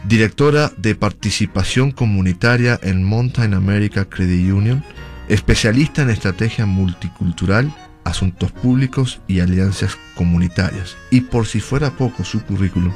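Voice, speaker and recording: A man hosting a radio interview program in a studio, introducing his guest with a measured, formal announcer delivery. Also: fairly low pitch, a little mellow, soft background music. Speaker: radio journalist and host.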